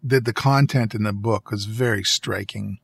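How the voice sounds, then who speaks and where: A man chatting casually on a podcast in an easygoing way, pausing mid-sentence to rephrase. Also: on the low side, steady tone, vocal fry, breathy edge, no room sound, clean studio sound. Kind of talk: casual conversational podcast chat.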